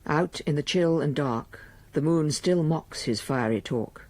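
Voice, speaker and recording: A man giving measured, scripted literary narration as storyteller of a period radio drama. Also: a little high, darkish tone, dry recording, close to an everyday voice. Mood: measured, evocative.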